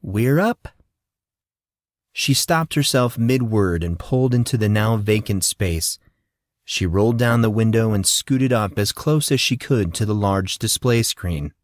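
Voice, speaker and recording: A man's voice: on the low side, unhurried, dry recording, clean studio sound. A man reading scripted fiction as an audiobook narrator.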